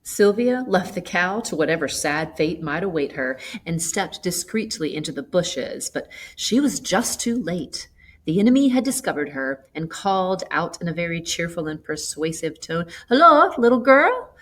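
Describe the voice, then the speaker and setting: A woman reading a literary short story aloud as an expressive narrator. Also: fairly low pitch, normal speed. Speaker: story reader.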